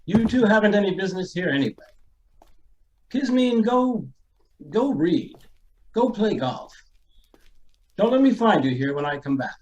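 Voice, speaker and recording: A man's voice: sits high, big pitch swings, unhurried, long pauses, a little mellow, dull audio. A man playing a stern, imperious, wealthy father in an old-time radio style drama, curt and commanding.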